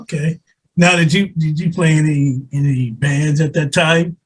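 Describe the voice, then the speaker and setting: A man's voice: a little high, steady tone, moderate pace, slightly rough, rough recording. A man hosting a casual interview podcast, laid-back and laughing often, talking loosely with plenty of fillers. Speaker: podcast host.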